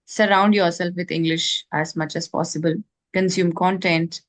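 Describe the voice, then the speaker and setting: A woman interviewing a learner and giving clear, encouraging advice on learning English. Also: lowish voice, steady tone, normal speed.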